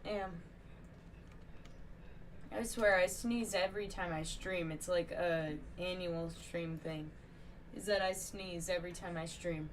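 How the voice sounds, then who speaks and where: A woman's voice: lowish voice, fairly even intonation, takes it slowly, long pauses. A woman streaming a video game, chatting casually to viewers as she plays. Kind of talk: casual game stream commentary and reading dialogue aloud. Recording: distant mic, faint background noise.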